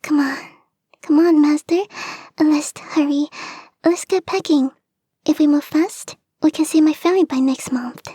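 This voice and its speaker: A woman voicing a clingy, lovestruck young kitsune fox girl in a soft, breathy, often whispered ASMR romance roleplay, coy and gushing. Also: high-pitched, fairly even intonation, unhurried, dry recording. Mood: giddy, possessive. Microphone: close microphone, dry.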